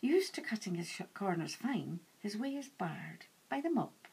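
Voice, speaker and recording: A woman author reading her own novel aloud in an animated, dramatic first-person storytelling style. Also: mid-range voice, moderate pace, creaky, slightly rough. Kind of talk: expressive audiobook-style first-person narration.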